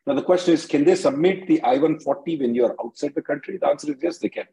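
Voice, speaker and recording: A man with a soft, dark tone hosts a legal question-and-answer call. He is blunt and impatient with callers, and repeats himself as he advises. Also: a little high, fast, gravelly, husky, poor audio. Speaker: immigration attorney hosting a conference call.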